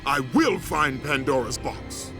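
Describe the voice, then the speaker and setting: An actor playing a fierce, tormented young Spartan warrior captain in a video game, declaiming with forceful intensity. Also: male voice, mid-range voice, lively intonation, measured pace, over music. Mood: defiant rage. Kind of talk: dramatic video game acting.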